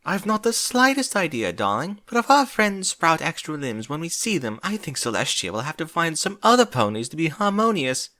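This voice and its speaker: A man's voice: on the high side, very animated, moderate pace, few pauses, bright, forceful tone, pitched above the performer's own voice. A man voicing a dramatic, prissy female unicorn fashionista, theatrical and haughty, in a cartoon-style story reading. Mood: exasperated.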